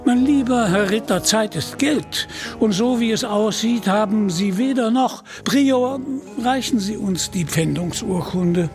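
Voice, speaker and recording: A man's voice: high voice, a little slow, a little mellow, soft background music, pitched above the performer's own voice. A man voicing a greedy, pompous medieval bishop in an audio storybook, with condescending mock courtesy.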